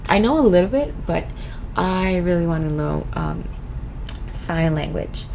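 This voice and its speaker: Slow, gravelly-voiced woman. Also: on the low side, slight background noise. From Puerto Rico. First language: Spanish. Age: 20s. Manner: relaxed, chatty, many fillers and restarts. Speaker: vlogger. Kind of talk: casual Q&A vlog.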